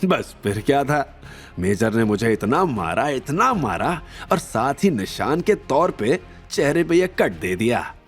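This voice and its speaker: A man's voice: medium pitch, big pitch swings, measured pace, soft background music, pitched above the performer's own voice. A man voicing a boastful reformed underworld hitman in an audio drama, speaking in a dramatic, awed storytelling manner. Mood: awed, reverent.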